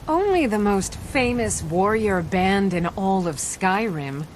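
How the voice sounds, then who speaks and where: A woman's voice: mid-range voice, animated, slow pace, slight background noise. A woman voicing a proud, eager warrior devoted to her warband in a fantasy video game. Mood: proud, admiring. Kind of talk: video game character acting.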